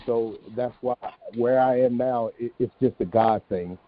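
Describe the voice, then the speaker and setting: A man's voice: medium pitch, measured pace, dry recording. Earnest man on a tinny phone-like line. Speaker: stage actor and podcast guest. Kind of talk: reflective interview answer. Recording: tinny phone-like online call.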